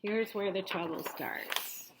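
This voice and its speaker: Woman reading to kids at an unhurried pace. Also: mid-range voice, noisy background. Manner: expressive, simple explanations addressed to children. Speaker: teacher reading a picture book aloud to children. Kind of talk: read-aloud storytelling with asides and questions to young listeners.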